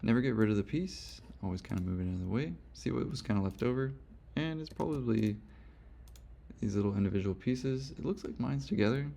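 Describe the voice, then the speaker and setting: A hoarse young man giving a casual, rambling software tutorial, correcting himself often as he goes. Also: medium pitch, expressive, brisk. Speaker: instructor. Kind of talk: screen-recorded software tutorial.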